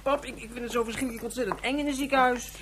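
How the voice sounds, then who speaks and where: A woman's voice: on the high side, fairly even intonation, racing, slight background noise, lightly acted. A woman playing an anxious, whiny young boy in a radio play, childlike and given to exaggeration. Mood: frightened, whiny. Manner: childlike, repeats exaggerated intensifiers. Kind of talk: radio drama acting.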